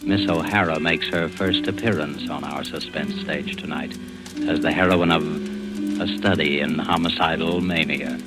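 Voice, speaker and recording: Deep-voiced, ominous thriller host. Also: male voice, fairly even intonation, over loud music, rough recording. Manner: measured, theatrical, ominous. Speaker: narrator and host. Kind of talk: 1940s radio announcing, scripted narration.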